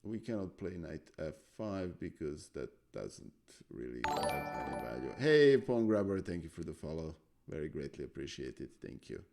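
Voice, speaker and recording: A man's voice: rumbling low, sing-song, normal speed, creaky, hardly any pauses, over music. A man whose first language is Serbian, thinking aloud through chess moves on a live stream, hesitant and full of fillers. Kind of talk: casual chess analysis on stream.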